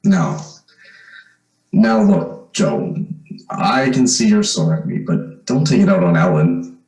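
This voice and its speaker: The voice rings in a roomy space. It is a man acting an uneasy young man in an old-time radio drama, halting and slow, with a pitch that moves around a lot. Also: wildly swooping pitch, vocal fry, darkish tone, exaggerated voice. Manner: hesitant, halting. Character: uneasy young man returned home, posing as lost son. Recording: roomy, echoing recording.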